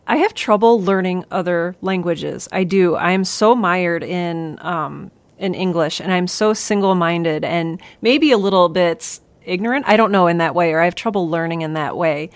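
A woman with a slightly low voice hosts a relaxed interview. She asks curious, self-deprecating questions. Her voice sounds dry and close to the mic. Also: no echo. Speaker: podcast host. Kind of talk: casual interview conversation.

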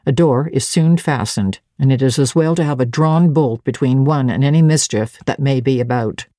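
A woman reading a storybook in the part of a middle-aged servant woman, stolid and secretive, speaking in a demure, measured, unruffled way. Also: very deep voice, dark tone, dry recording, studio quality, pitched below the performer's own voice. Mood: stolid, composed. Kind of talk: dramatic audiobook reading.

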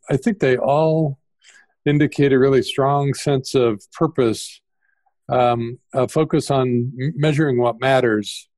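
A man's voice: medium pitch, a little mellow, dry recording. A man hosting a podcast interview, asking questions hesitantly with fillers and restarts. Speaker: podcast host.

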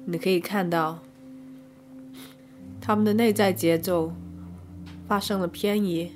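Low-pitched woman narrating over music. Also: steady tone, measured pace, darkish tone. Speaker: podcast host and reviewer. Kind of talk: first-person narrated arts review, scripted.

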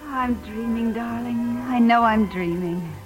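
An actress playing a devoted American wife in a 1950s radio drama, earnest and emotive. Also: female voice, fairly high pitch, measured pace, lightly acted, rough recording. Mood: dreamy, loving. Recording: old radio broadcast with music.

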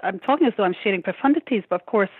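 A woman's voice: medium pitch, expressive, rapid-fire, husky, no room sound. A woman in her fifties, a musician being interviewed, talking in a passionate, outspoken and emphatic way. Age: 50s. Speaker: musician guest. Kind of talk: candid interview conversation. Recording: tinny phone-line sound.

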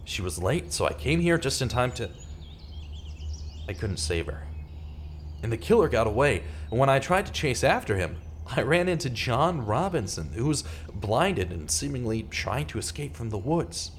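A man's voice: mid-range voice, big pitch swings, normal speed, a little hiss or hum, close to an everyday voice. A man playing an earnest, desperate journalist in a radio theater drama, speaking with urgent, pleading drama. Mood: grave, shaken. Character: earnest, desperate male journalist wrongly accused of murder.

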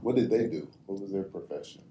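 A deep-voiced man hosts a casual podcast interview. He asks curious, loosely worded follow-up questions in a relaxed, conversational way. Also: fast. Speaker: podcast host.

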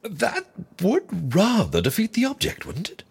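Theatrical, fussy Egyptian fixer. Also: male voice, high voice, huge pitch swings, measured pace, gravelly, slight background noise, heavily acted. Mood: businesslike, wry. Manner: prim, florid, droll. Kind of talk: audio drama dialogue.

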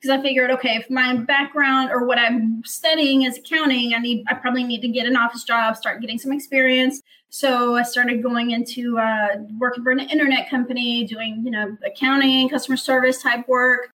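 A woman's voice: on the high side, fairly even intonation, bright, forceful tone, rough recording. A woman from Guatemala whose first language is Spanish, a company president speaking as a podcast guest in a friendly, agreeable way. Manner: friendly, agreeable, quick to affirm. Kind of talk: conversational interview.

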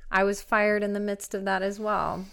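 A woman working as a nurse, answering interview questions in a steady, matter-of-fact way. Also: mid-range voice, normal speed, gravelly, smooth.

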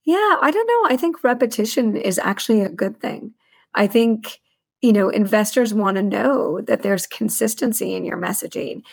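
A woman with a noticeably creaky, mid-pitched voice talks as a guest in an interview. She explains things in articulate detail, like a senior finance executive, using plenty of business jargon. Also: lively intonation, moderate pace. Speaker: chief financial officer, podcast guest.

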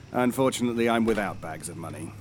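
An actor playing a polite, smooth-talking fixer in an audio comedy drama. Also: male voice, on the low side, a little hiss or hum. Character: suave, courteous male fixer posing as publisher's agent. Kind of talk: audio fiction comedy acting.

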